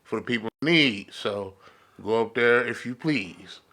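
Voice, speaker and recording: A man's voice: medium pitch, a little slow, bright, forceful tone. A man with an American accent chatting casually as a podcast co-host, slangy and repeating short affirmations. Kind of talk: casual chat. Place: podcast studio.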